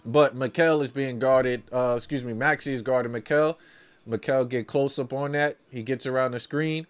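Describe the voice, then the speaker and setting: A man's voice: mid-range voice, steady tone, normal speed, smooth. A man hosting a watch-along, calling basketball live as a partisan fan in slangy talk, often repeating phrases for emphasis.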